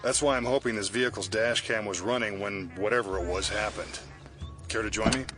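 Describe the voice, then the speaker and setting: A man plays a weary senior investigator in an audio drama. The voice is fairly low, plainspoken and wry, with music clearly behind it. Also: a little fast, lightly acted. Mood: businesslike. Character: concerned, weary senior male federal investigator. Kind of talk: immersive audio drama acting.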